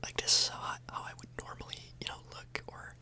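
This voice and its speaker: A woman speaks entirely in a soft, breathy whisper. She rambles casually in an ASMR style, hesitating and correcting herself as she chats to her viewers. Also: fast talker. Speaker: ASMR YouTuber, host. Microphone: microphone a little further away than usual.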